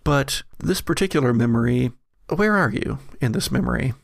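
A man narrating as game master of a gothic horror tabletop game, deliberate and storyteller-like, with pauses. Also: medium pitch, animated, gravelly, dry recording, clean studio sound.

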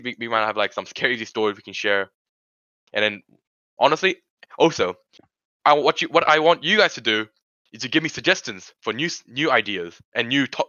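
A man's voice: mid-range voice, bright, forceful tone, dry recording. A teenage boy hosting a casual podcast chat, rambling with lots of restarts and filler words. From Korean family, grew up in Sri Lanka. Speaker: podcast host. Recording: dull lo-fi recording.

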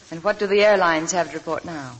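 A woman's voice: fairly low pitch, natural voice. Actress as knowing young woman, echoing old radio. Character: weary, perceptive young woman, gently teasing. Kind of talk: 1940s radio drama acting. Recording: echoing, hissy vintage radio broadcast.

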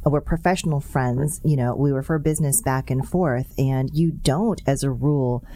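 A woman's voice: rumbling low, normal speed, warm tone, no echo. A woman hosting a podcast interview from a studio, chatty and playful with her guests. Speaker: podcast host. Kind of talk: casual interview chat.

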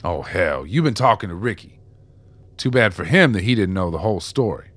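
A man's voice: sits low, lively intonation, a little fast, a bit bright, dry recording. An actor playing a remorseful, ragged old ex-con in a radio drama, world-weary and streetwise as he confesses. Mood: bitter, resigned. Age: older.

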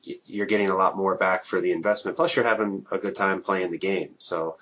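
Husky low-voiced podcast host. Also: male voice, brisk, lo-fi sound. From Minnesota. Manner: relaxed, hesitant, many ums. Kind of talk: casual interview. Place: home.

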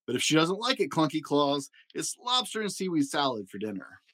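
A man's voice: fairly high pitch, very animated, fairly quick, a bit bright, no room sound, studio quality. A man voicing a big scary shark who is bossy and soppy in love, in a children's storybook. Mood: bragging, threatening.

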